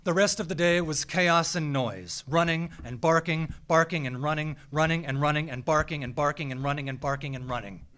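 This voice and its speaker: An American man reads a scripted personal story live on stage, with a measured, theatrical delivery and deliberate dramatic pauses. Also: on the high side, a bit bright. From small town in Minnesota, United States. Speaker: writer reading a personal essay. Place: small theatre, live event.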